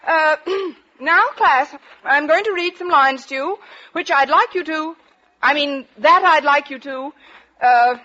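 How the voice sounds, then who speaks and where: An actress playing a sharp-witted, tired high school teacher with a wry, wisecracking manner in a 1940s radio comedy. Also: female voice, unhurried. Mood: flustered. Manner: wry wisecracks, flustered under pressure. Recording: noisy, muffled 1940s radio broadcast.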